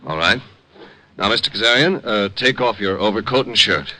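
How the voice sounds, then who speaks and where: An actor playing a fussy, nervous doctor in a 1950s radio drama, halting and flustered. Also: male voice, lowish voice, animated, bright, forceful tone, slight background noise. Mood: puzzled.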